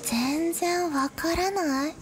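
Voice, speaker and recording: Woman acting a high-pitched, wounded, prim schoolgirl. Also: slow, heavy background noise. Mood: bitter, sarcastic. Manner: reproachful, prim feminine phrasing. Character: hurt, bitter high school girl breaking off a friendship. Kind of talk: Japanese radio drama acting.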